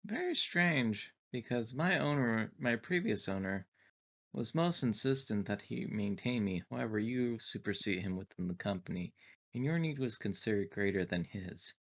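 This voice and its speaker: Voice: medium pitch, lively intonation, normal speed. A player voicing a synthetic android in a tabletop roleplaying game, speaking in formal, stilted, clinical wording with detached, naive curiosity.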